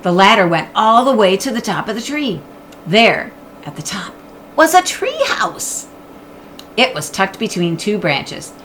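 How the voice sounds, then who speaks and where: Female storybook narrator. Animated, mid-pitched, expressive, with faint noise behind the voice. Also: a little slow. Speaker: audiobook reader.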